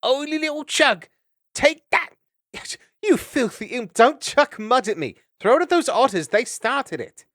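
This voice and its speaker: A squeaky little hedgehog kid. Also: male voice, lively intonation, a little slow, fairly bright tone, no echo, studio quality, heavily acted. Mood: giggly. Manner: giggling, squeaky, mischievous. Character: small cheeky hedgehog child.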